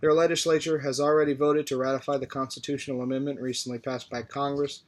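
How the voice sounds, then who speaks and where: A man reading a formal historical political speech aloud in a measured, deliberate way. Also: mid-range voice, level tone, a little mellow. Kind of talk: formal oratory read aloud from a text.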